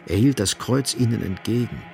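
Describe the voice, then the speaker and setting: Low, creaky-voiced male radio play narrator. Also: normal speed, darkish tone, faint music behind.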